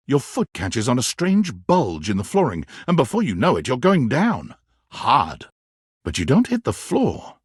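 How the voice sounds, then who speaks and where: A man narrating a romantic comedy video game with measured, dramatic storytelling and deliberate pauses. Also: medium pitch, darkish tone, slightly rough, dry recording, clean studio sound. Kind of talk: scripted second-person narration of a dating game.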